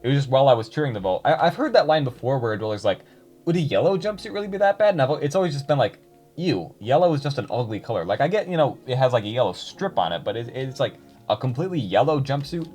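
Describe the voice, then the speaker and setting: A man's voice: medium pitch, big pitch swings, quick pace, darkish tone, faint music behind. A young man chatting casually to his viewers, rambling with many fillers and restarts. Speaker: YouTuber reading comments.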